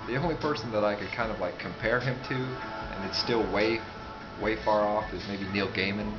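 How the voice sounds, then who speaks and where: Music plays clearly behind a man with a fairly low voice. He talks casually and without a script, in a halting, rambling way with asides that trail off. The recording is a little dull and lo-fi. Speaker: book reviewer, vlogger. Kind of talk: casual, unscripted talk to camera.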